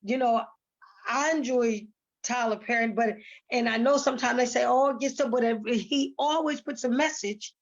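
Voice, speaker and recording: A woman's voice: a little high, moderate pace. A woman talking casually and emphatically as an interviewed stage actress, often repeating words and phrases. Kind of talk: casual panel conversation. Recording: dull, muffled lo-fi sound.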